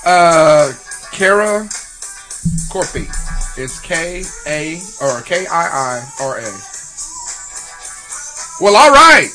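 A man with a fairly high, very animated voice talks as a co-host in loose, slangy banter. He is jocular and casual, with music clearly playing behind him. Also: drawn-out words, pauses often, rough recording. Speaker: talk show co-host.

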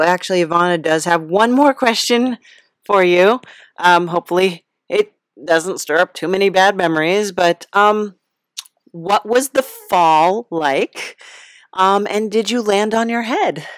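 A woman hosting a casual comedic interview, speaking in a hesitant, halting, giggly way. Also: on the low side, no room sound, clean studio sound. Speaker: podcast host, interviewer.

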